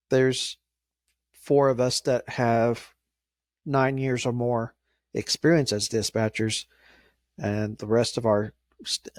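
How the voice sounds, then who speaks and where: A man answers as an interview guest in a medium-pitched voice with very little room sound. He talks informally and carefully, hesitating and feeling his way through each phrase. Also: darkish tone, no room sound, studio quality. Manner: measured, hesitant, halting phrasing. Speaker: emergency dispatcher, interview guest.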